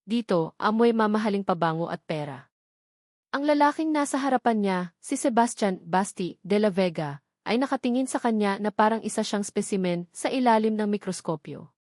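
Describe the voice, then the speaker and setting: A woman's voice: medium pitch, darkish tone, dry recording. Dry-sounding woman narrating a dramatic romance. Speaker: story narrator.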